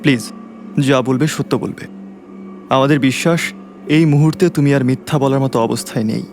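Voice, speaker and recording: A man's voice: medium pitch, normal speed, darkish tone, a little hiss or hum, close to an everyday voice. A man playing a serious, probing detective in an audio drama, with a firm, questioning manner.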